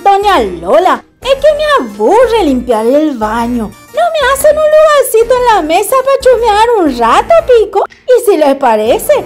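A woman's voice: extremely high pitch, wildly swooping pitch, measured pace, darkish tone, soft background music, exaggerated voice. A woman playing a chatty, cheerful, forgetful young housemaid in a radio comedy, giggly and colloquial. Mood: eager, cheeky.

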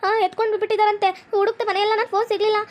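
A woman plays a worried young village daughter in a homemade family skit. She has a very high, rapid voice, and she keeps repeating her pleas over and over. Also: little pitch movement. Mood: anxious pleading. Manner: pleading, repeats herself anxiously.

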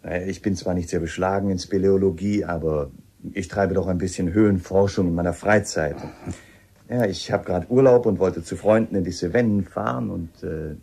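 Low, gravelly police inspector. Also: male voice, steady tone, moderate pace, dark tone, heavily acted. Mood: hesitant, polite. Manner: hesitant, probing, matter-of-fact. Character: shrewd, polite male police inspector. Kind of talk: radio drama acting.

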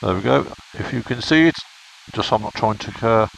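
English male biker vlogger, deep voice, relaxed explainer, helmet mic with light background hiss. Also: moderate pace, creaky, rough recording. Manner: chatty, explains as he goes. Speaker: motorcycle vlogger reviewing a camera. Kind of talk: casual product review vlog. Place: home and garden, then outdoors by his motorbike. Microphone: helmet microphone of action camera.

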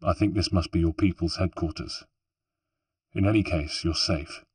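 A gentle young male hero speaking quietly and reassuringly, as a man voices him. The voice is low and subdued, held back from the mic. It has a calm, soft manner. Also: moderate pace, husky, dry recording, far from the mic.

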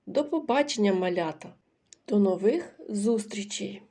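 Chirpy little cartoon boy played by a woman, slow and breathy, kind of mellow. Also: medium pitch, animated. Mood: cheerful. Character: Dunno, a cheerful little boy pupil.

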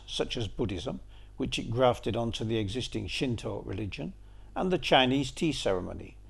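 A man's voice: medium pitch, vocal fry, husky. An older man giving an illustrated lecture, with dry, wry asides. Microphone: seen on camera briefly, then voice-over.

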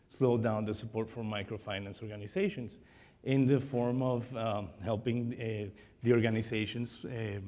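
A middle-aged man answering on a conference panel, measured and hesitant, often pausing on fillers. His voice is fairly low for a man. A lapel mic picks him up with a long hall reverb. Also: darkish tone. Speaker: nonprofit director, panelist. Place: indoor conference stage with audience.

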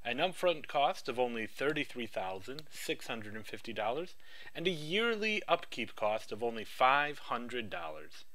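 A man giving a rehearsed project pitch with an upbeat sales-pitch delivery. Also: lively intonation, normal speed, sharp tone.

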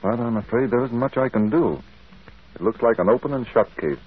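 An actor playing a genial, businesslike private detective in an old radio drama. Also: male voice, medium pitch, fairly quick, breathy edge, natural voice. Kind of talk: 1940s radio drama acting. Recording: muffled old radio broadcast.

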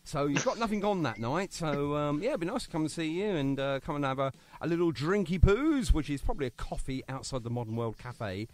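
Chatty, lively British radio host man. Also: a little high, brisk, hardly any pauses. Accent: British English. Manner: chatty, rambling and jokey, many fillers. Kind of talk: casual radio interview chat.

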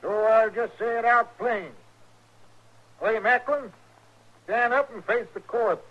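An actor playing an exasperated, plain-talking frontier judge, folksy and declamatory, with a rural Western American accent in a 1950s radio western. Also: male voice, very high-pitched, takes it slowly, a bit bright, heavily acted. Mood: blunt, exasperated. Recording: dull, hissy 1950s radio recording.

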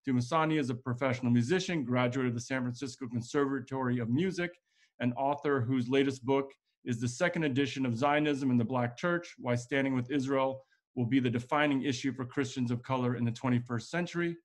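A man's voice: mid-range voice, brisk. A man in his thirties hosting a webinar on a video call, reading out guest introductions in a scripted, upbeat way. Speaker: host introducing panel. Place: home room with plants.

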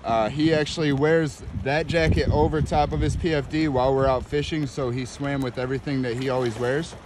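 A man's voice: medium pitch, fairly even intonation, fairly quick. A man casually interviewing others as a vlog host, speaking from behind the camera. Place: outdoors on a lake in a kayak. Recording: windy outdoor recording with water noise.

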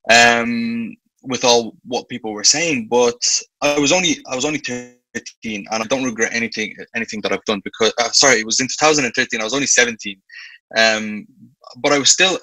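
A young man in his twenties with a Dublin Irish accent, speaking earnestly and reflectively over a video call. His voice is bright and forward, with a dry, close sound and no room echo. He often restarts his sentences as he works through his thoughts. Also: medium pitch. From Ireland. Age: 20s. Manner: earnest, with frequent restarts and "you know". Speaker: guest. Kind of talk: reflective conversational interview. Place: indoor room with light walls. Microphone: video call webcam.

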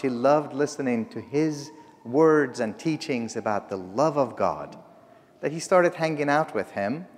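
A middle-aged man whose first language is not English, preaching from the pulpit with humor and stories. He talks slowly, his pitch rising and falling a great deal. His voice carries a long reverb through the church sanctuary. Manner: storytelling, humorous, expressive. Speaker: guest preacher. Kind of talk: sermon.

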